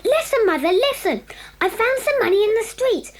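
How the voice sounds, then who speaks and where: A woman's voice: very high-pitched, big pitch swings, normal speed. A woman playing an earnest, polite, eager young schoolboy in an audio drama for children. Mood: excited, breathless.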